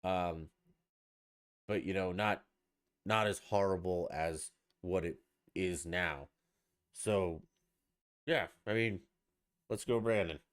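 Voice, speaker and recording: A man's voice: deep voice, slow pace, long pauses. A man hosting a podcast on his own, rambling and ranting with plenty of swearing. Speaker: podcast host. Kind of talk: casual solo podcast chat.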